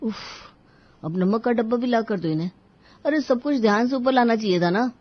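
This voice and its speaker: A woman's voice: a little high, normal speed, highs cut off, lightly acted. A woman voicing grumbling young males of a family in a cartoon story, with a complaining manner.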